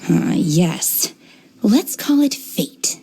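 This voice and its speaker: A woman voicing an aloof, blunt exorcist in an English game dub, deadpan and measured. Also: on the low side, creaky, soft background music, full character voice, rough recording. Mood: weary, resigned. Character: aloof, blunt female exorcist, calmly menacing and unworldly.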